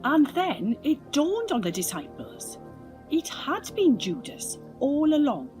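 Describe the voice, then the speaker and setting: A woman narrating a children's story in an expressive, dramatic storytelling style. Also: high-pitched, takes it slowly, soft background music. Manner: animated, dramatic reading with acted dialogue.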